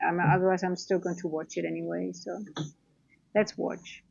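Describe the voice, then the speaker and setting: A woman's voice: fairly low pitch, little pitch movement, normal speed, gravelly, dull audio. A tired woman from the Netherlands with a Dutch accent, chatting casually through a live trading stream and answering viewers.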